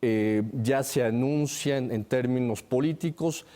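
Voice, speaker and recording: Hombre mexicano de voz suave, analista metódico. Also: male voice, medium pitch, unhurried, mellow. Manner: methodical, enumerates his points. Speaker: security analyst on a panel. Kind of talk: TV panel discussion, analytical commentary.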